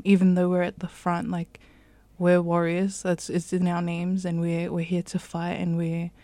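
A young New woman chatting as an interview guest. She keeps stopping with "um" and "like" as she talks. Her voice sits lowish and fairly level, with a mellow, dark tone on a dry, close recording. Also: no room sound. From New Zealand. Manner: chatty, many fillers like "um" and "like". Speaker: climate activist guest. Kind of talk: casual interview answers.

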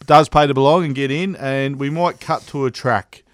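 A man's voice: medium pitch, steady tone, moderate pace. A man hosting a casual radio show, friendly and matey.

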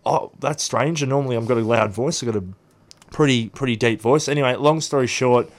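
A man's voice: medium pitch, fairly quick. A young Australian man in his twenties, a radio guest telling long stories with many ums and restarts in a studio interview. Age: 20s.

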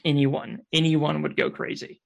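A man speaking earnestly as a guest in a panel discussion, pausing to search for words. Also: a little high, level tone, vocal fry. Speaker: podcast guest.

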